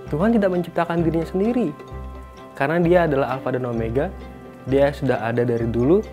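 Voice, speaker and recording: A man's voice: on the high side, quick pace, dark tone, soft background music. A man in his thirties giving short answers as an interviewee. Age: 30s. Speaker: interviewee, office employee.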